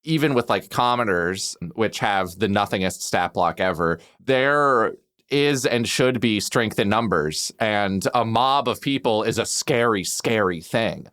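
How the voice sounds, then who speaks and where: A podcast panelist chatting casually about tabletop games in an animated, emphatic way, airing gripes. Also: medium pitch, a little slow. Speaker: podcast panelist and game master. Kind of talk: casual tabletop gaming chat.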